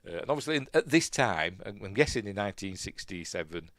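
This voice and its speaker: A man with a Yorkshire accent hosting a casual film commentary, rambling and musing aloud, often second-guessing himself and trailing off quietly. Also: lowish voice, expressive, fast talker, dry recording, studio quality. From Yorkshire, England. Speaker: film review host.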